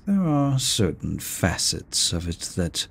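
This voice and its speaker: A man's voice: low voice, wildly swooping pitch, vocal fry, breathy edge, heavily acted. A man voicing a seductive, courteous immortal incubus demon in a roleplay audio drama, speaking to the listener in a silky, theatrical way. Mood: amused, matter-of-fact. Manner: slow, silky, theatrical, courteous with drawn-out words. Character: seductive, teasing male incubus demon, immortal deal-maker.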